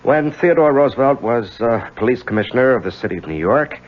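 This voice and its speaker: An actor playing an earnest, evasive young married attorney, hesitant and confiding, in a 1970s radio drama. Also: male voice, mid-range voice, lively intonation, a little fast, lightly acted. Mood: guarded. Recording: dull lo-fi 1970s radio recording.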